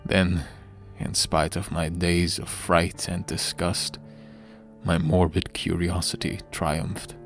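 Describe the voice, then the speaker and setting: A man reading a horror story as a dramatic narrator, grave and theatrical, in the manner of an audiobook. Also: sits low. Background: background music.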